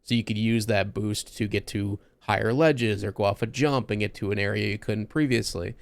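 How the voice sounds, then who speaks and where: Gravelly-voiced man chatting on a podcast. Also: on the low side, fairly even intonation, moderate pace, dry recording. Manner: enthusiastic, rambling with restarts. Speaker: podcast host. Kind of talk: casual podcast chat.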